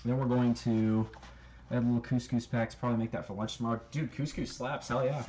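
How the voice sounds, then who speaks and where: A man's voice: mid-range voice, fairly even intonation, fairly quick, dark tone, a little hiss or hum. A man chatting loosely to his stream chat while he cooks in his kitchen, swearing now and then and counting numbers aloud. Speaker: streamer cooking on a livestream. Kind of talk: casual live cooking chat. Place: home kitchen, indoors. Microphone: handheld phone camera.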